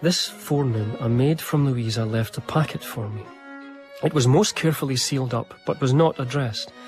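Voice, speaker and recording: Period drama narrator: earnest young gentleman diarist man acting, mid-pitched, measured, confiding, literary, over music. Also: close to an everyday voice. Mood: moved. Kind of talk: first-person period narration in radio drama.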